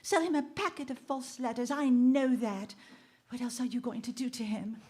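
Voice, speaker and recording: A woman's voice: on the high side, moderate pace, mellow, lightly acted. A woman in a radio drama playing a brave, earnest young heroine with an accusing, theatrical delivery. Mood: anxious defiance.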